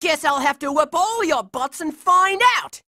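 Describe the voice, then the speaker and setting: A woman's voice: high-pitched, unhurried, bright, forceful tone, dry recording, clean studio sound. A woman voicing a scrappy, tough-talking, hot-headed boy hero in a cartoon video game, brash and combative. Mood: defiant.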